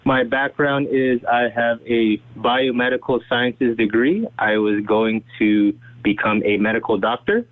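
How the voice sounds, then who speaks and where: A young man presenting himself to a group on a conference call. He talks quickly and chattily and keeps checking in with "right?" and "okay?". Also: mid-range voice, dry recording. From Saudi Arabia. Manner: fast, chatty, uses "right?" and "okay?" often. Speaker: guest presenter. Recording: tinny phone line.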